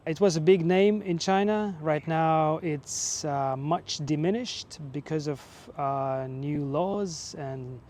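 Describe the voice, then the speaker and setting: Mellow man telling his story. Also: fairly high pitch, slight background noise. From Russia. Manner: relaxed, measured, with fillers and short laughs. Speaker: vlogger and teacher. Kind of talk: casual personal storytelling.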